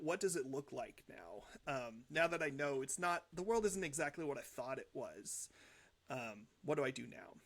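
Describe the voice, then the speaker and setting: A young man hosting a music reaction show, talking casually in an earnest, reflective, rambling way. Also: fairly high pitch. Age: young adult.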